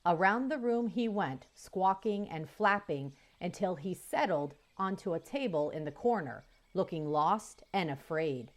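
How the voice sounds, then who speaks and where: A woman reading a children's chapter book aloud in an expressive, lively way. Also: on the low side. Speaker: storyteller. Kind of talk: children's audiobook read-aloud.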